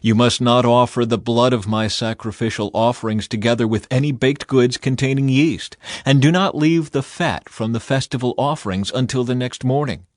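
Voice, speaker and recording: A man's voice: medium pitch, normal speed, few pauses, no echo. Dry-sounding male scripture narrator. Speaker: audiobook Bible reader.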